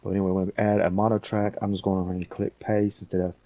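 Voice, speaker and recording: A man giving a casual software tutorial as host, rambling a little and thinking aloud as he talks through steps. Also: on the low side, flat delivery, fast talker, no echo.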